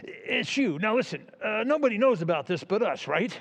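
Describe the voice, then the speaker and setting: An actor playing an excitable, middle-aged small-town newspaper editor in an old-time radio drama, flustered and hemming. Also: male voice, high voice, normal speed, exaggerated voice. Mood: conspiratorial.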